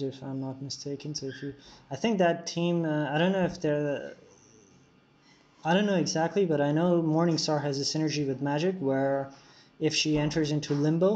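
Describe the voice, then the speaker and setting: A man's voice: a little high, a little mellow. A man streaming a mobile game live, chatting casually with viewers and giving tips between fights. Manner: casual, answers chat while playing, frequent fillers. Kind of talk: live-stream commentary while playing. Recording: dull lo-fi recording.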